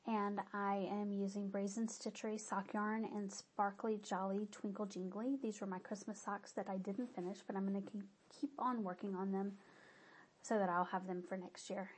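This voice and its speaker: A woman's voice: mid-range voice, darkish tone, breathy edge. Woman with a stuffy nose chatting about her knitting, keeps correcting herself as she goes. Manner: rambling, self-correcting. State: stuffy-nosed. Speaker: knitting podcaster. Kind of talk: casual project update.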